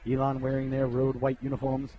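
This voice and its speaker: A man's voice: medium pitch, completely flat delivery, a little fast, breathy edge, highs cut off. A middle-aged man calling an amateur hockey broadcast in a chatty, rambling way, joking with viewers and a bit sniffly. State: sniffly from a cold. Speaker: play-by-play announcer. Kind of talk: casual hockey broadcast commentary.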